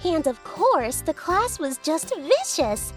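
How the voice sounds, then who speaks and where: A woman's voice: very high-pitched, big pitch swings, takes it slowly, exaggerated voice. A woman playing a teasing, mock-pitying narrator of an anime comedy in an arch, theatrical way, with music behind her. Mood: wry, teasing.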